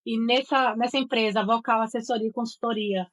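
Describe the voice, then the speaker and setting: A middle-aged woman with a clear Northeastern Brazilian accent speaks in a fairly high register. She tells her story as an interview guest, with assured, articulate delivery. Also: fairly even intonation, a little fast, a bit bright, low-quality recording. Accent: Northeastern Brazilian (Sergipe). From Aracaju, Sergipe, Brazil. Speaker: guest, voice and speech therapist.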